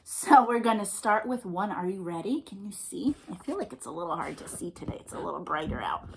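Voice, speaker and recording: A woman's voice: mid-range voice, expressive, a little fast, creaky, a little hiss or hum, poor audio. A woman hosting a storytime for young children, reading aloud and chatting in an encouraging, sing-song way with questions and praise. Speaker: children's storytime host and librarian.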